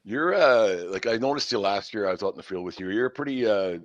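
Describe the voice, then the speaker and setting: A man's voice: fairly low pitch, lively intonation, fairly quick, dry recording, lo-fi sound. A man in his fifties hosting a casual sports interview, chatty, rambling and joking at his own expense. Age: 50s. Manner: rambling, joking and self-deprecating. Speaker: sports show host and interviewer.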